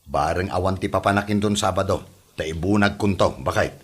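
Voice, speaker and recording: A man acting a reluctant, grumbling working husband from a village in a comedy drama, with a husky voice and an Ilocano accent. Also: deep voice, fairly quick.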